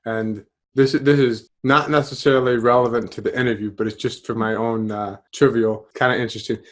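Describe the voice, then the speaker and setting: A man's voice: medium pitch, steady tone, brisk. Curious young male interviewer. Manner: curious, restates answers. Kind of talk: casual interview. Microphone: headphones on video call.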